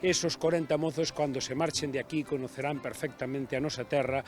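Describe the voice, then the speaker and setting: A man's voice: medium pitch, steady tone, fairly quick. A man giving a proud, declamatory statement outdoors by an old bridge. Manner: proud, declamatory, speaks of his land. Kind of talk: public speech to camera.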